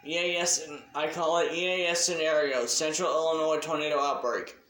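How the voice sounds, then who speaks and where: A man giving a casual, unscripted shout-out announcement as a hobby video creator, talking in a halting, deliberate way. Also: fairly high pitch, fairly even intonation, echoey room, faint music behind, rough recording.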